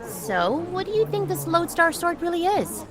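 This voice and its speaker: A woman's voice: very high voice, big pitch swings, normal speed, a crowd in the background. An actress playing a sly, bold female wanderer in a video game, teasing and cheeky. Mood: teasing, probing. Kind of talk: video game cutscene acting. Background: background music.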